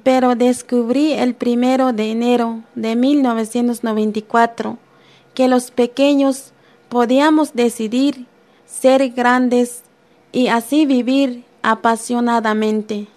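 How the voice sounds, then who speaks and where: A woman reading a listener's letter aloud on the radio, slow and earnest. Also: a little high, fairly even intonation, darkish tone.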